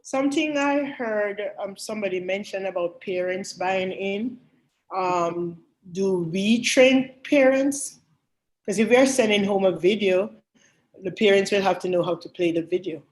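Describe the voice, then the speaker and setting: A woman guest educator on an online seminar, asking quick questions and agreeing briefly. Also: medium pitch, low-quality recording.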